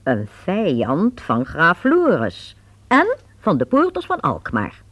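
A woman narrating a radio play in a lively, expressive storytelling manner. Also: on the low side, slow, dry recording, highs cut off.

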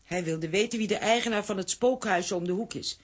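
An actress playing a chatty, fussy, exclamatory aunt in a children's cartoon-based audio drama. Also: female voice, medium pitch, a little fast, natural voice. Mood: explaining.

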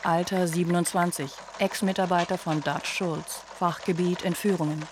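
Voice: mid-range voice, little pitch movement, normal speed, lightly acted. A performer playing an official who reads criminal dossiers in a clipped, impersonal way in a radio drama. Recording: noisy background.

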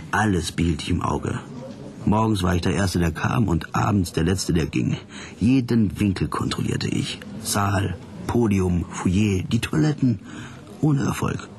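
An actor playing a bored, sardonic detective who narrates a radio crime play in a deadpan, wry way. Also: male voice, low-pitched.

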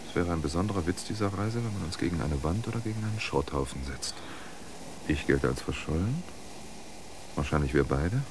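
A man's voice: low voice, animated, fairly quick, noisy background. A man playing a weary, stubborn man of eighty-six in a science fiction radio drama, dry and matter-of-fact. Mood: dry, resigned. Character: 86-year-old weary, stubborn, dry-witted male emigrant.

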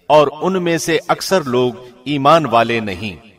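A man's voice: mid-range voice, expressive. Formal man reading scripture translation. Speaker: translation reader, radio presenter.

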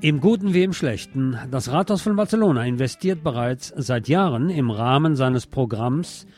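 A man's voice: mid-range voice, animated, normal speed. Man presenting radio news over music. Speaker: radio host.

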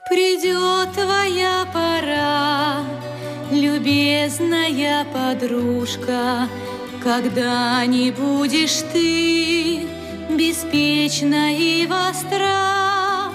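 A woman's voice: high-pitched, steady tone, very slow, music in the background. A woman playing a carefree, exuberant young country noblewoman in a classic vaudeville radio play, chirpy and girlish. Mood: playful singing.

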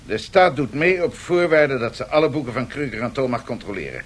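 An imperious prime minister, acted by a man in a radio play, curt and authoritative. His medium-pitched voice moves through a wide, emphatic range. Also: animated, fairly quick, lightly acted. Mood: brusque, stern. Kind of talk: 1970s radio drama acting.

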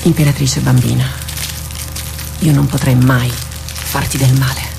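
An actress playing a proud, seasoned woman warrior captain in a radio drama, wry and resolute, speaking formally and deliberately. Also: very deep voice, fairly even intonation, vocal fry, full character voice. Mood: brooding. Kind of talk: theatrical radio drama acting. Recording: studio radio drama with music underneath.

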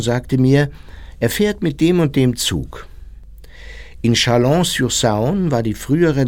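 An actor in a radio documentary drama playing an unrepentant German wartime officer who recounts events in a precise, matter-of-fact way. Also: male voice, mid-range voice, darkish tone.

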